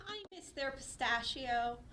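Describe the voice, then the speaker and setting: Frank secretary acted by a woman, dry and pointed, high lively voice, comedy table read, faint background noise. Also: slow pace, heavily acted. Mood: dry, patient. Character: frank, dry-witted female secretary to a mayor.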